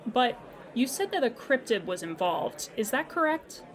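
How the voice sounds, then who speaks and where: Woman acting as a polite, higher-voiced call center agent. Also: sing-song, a faint crowd. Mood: patient, apologetic. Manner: formal, measured, courteous. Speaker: customer service representative. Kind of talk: scripted comedy audio drama phone call.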